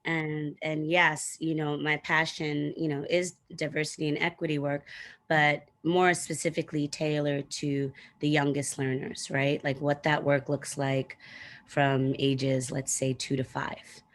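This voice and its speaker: Low-voiced woman explaining casually. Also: flat delivery, a little slow. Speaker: guest, early childhood educator.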